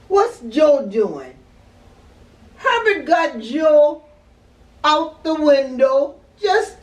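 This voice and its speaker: Older lady telling a story real slow, high voice swinging up and down, dramatic, repeats herself. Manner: dramatic, repeats words and phrases. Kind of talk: animated improvised storytelling. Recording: roomy echo, distant mic, music behind.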